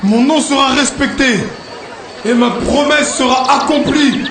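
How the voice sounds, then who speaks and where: Un homme jouant dans un dessin animé un prophète solennel, meneur vers la liberté, qui exhorte son peuple en déclamant. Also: male voice, very high-pitched, a little slow. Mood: solemn, reassuring. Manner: declamatory, exhortative preaching with emphatic slogans. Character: solemn prophet and freedom leader addressing his people. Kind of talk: animated film oratory, prophetic speech. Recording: echoey, noisy sound with crowd behind.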